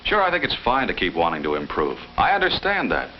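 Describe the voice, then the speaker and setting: Low-voiced, patient 1950s coach. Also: male voice, wildly swooping pitch, quick pace, faint music behind. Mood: patient, encouraging. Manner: reasoning, plain-spoken. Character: patient, encouraging male high school tennis coach. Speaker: tennis coach. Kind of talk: 1950s educational film acting. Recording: dull, slightly noisy old film soundtrack.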